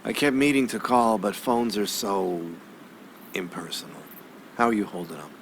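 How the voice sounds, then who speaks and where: An actor playing a courtly, weary old newspaperman in a video game, speaking in a measured, avuncular way with florid phrasing. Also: lowish voice, animated, slight background noise. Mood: fond, reflective.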